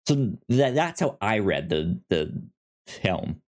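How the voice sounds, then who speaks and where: A man co-hosting in a casual chat, speaking haltingly with stammered, repeated word starts. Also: fairly low pitch, sing-song. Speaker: podcast co-host discussing films.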